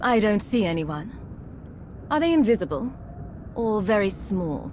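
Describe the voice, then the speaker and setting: An actress playing a composed, authoritative secret agent commander in a video game, firm and diplomatic. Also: female voice, medium pitch, very animated, a little slow, a little mellow, a little hiss or hum. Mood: guarded. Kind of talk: video game cutscene acting.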